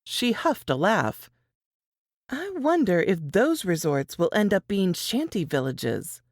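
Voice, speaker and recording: A woman's voice: medium pitch, big pitch swings, slow, no room sound, studio quality. A woman narrator reading a novel in a scripted storytelling style.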